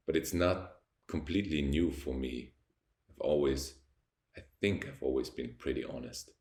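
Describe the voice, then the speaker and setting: A young man from Austria, whose first language is German, speaking English with an Austrian German accent. He talks to the camera from a high-rise apartment in a slow, reflective way, often pausing to search for words. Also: roomy sound. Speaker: vlogger. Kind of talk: unscripted talking to camera.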